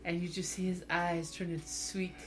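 A woman's voice: fairly low pitch, flat delivery, normal speed, a little hiss or hum. A woman narrating a tabletop roleplaying game as game master in a playful, teasing way. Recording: music behind the voice.

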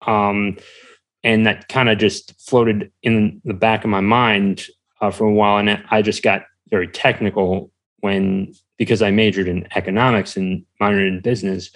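A young man answering as an interview guest, talking casually in a hesitant, halting way. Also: on the low side, level tone.

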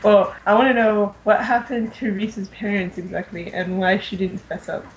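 A woman with a mid-pitched voice co-hosts a podcast. She talks in loose, casual banter with no script. A faint layer of noise sits behind her voice. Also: fairly even intonation, moderate pace, muffled recording. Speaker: podcast co-host.

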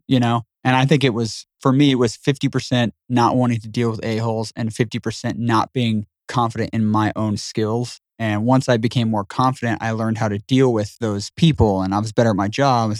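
A man's voice: mid-range voice, a little mellow, no echo, clean studio sound. A man hosting a podcast, chatting casually and agreeably with his guest. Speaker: podcast host.

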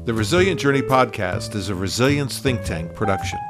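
A man's voice: on the low side, lively intonation, brisk, music in the background. A man hosting a podcast, speaking in an interview and introduction style. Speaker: podcast host.

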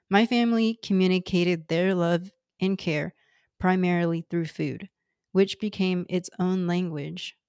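A woman in her thirties from Houston, Texas, whose first language is Cantonese, introducing her podcast in a measured, earnest scripted reading. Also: on the low side, a little mellow, dry recording, studio quality. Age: 30s. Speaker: podcast host.

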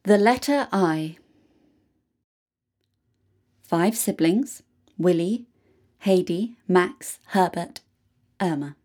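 A woman reading a scripted first-person story aloud in a deliberate manner, with dramatic pauses. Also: fairly low pitch, a little mellow. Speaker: reader of a written true story.

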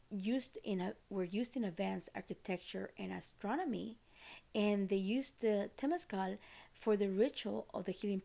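Woman, Costa Rican Spanish accent, podcast host, warm dark voice, fry in the voice, casual rambling. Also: medium pitch. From Costa Rica. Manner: rambling, many fillers and restarts.

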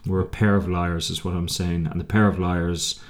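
A man's voice: sits low, little pitch movement, moderate pace. A man hosting a podcast in casual banter, with a wry, teasing manner and a habit of interjecting. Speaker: podcast host.

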